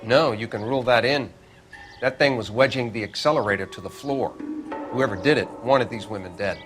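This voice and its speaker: An actor playing a dry, certain crime scene examiner in a video game drama, explaining in a clipped, matter-of-fact way. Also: male voice, medium pitch, brisk, music in the background, natural voice.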